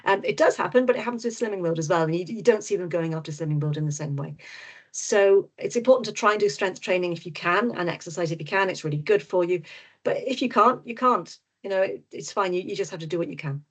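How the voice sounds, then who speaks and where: A woman's voice: fairly low pitch, expressive, quick pace. A middle-aged woman with a Welsh accent, a doctor and nutritionist answering webinar questions frankly and reassuringly, with plenty of ums and restarts. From Wales. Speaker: GP and nutritionist guest speaker.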